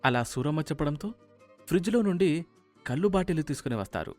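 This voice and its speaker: A man's voice: fairly high pitch, lively intonation, fast talker, darkish tone, no echo, soft background music. A man narrating a scripted story as its storyteller.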